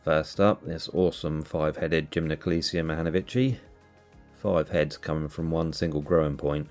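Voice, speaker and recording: A man hosting a cactus channel, sounding nasal from allergies, calmly explaining as he narrates. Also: rumbling low, normal speed, faint music behind. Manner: relaxed, explanatory. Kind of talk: casual voice-over narration.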